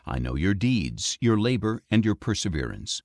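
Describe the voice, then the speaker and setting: A man reading scripture as an audiobook narrator, in a solemn, measured, declamatory way. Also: on the low side, big pitch swings, darkish tone, no echo, studio quality. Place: dry recording studio.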